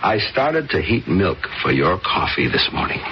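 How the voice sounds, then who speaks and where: A man's voice: low voice, sing-song, normal speed. An actor playing a kindly older father in a vintage radio drama, avuncular in manner. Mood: wistful. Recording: dull old radio recording with faint hiss.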